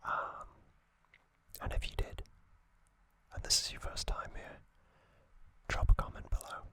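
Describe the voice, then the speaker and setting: A man hosting ASMR, narrating gently in a breathy, unvoiced whisper with frequent pauses. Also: no echo. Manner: slow, gentle, pausing delivery. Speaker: ASMR channel host.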